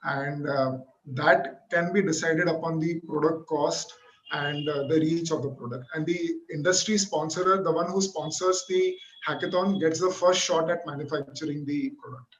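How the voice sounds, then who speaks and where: A man's voice: a little high, fairly even intonation, normal speed. A man from India answering panel questions hesitantly, with many filler sounds, in an online webinar. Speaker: hackathon organizer presenting. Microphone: computer mic on video call. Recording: muffled lo-fi webinar audio.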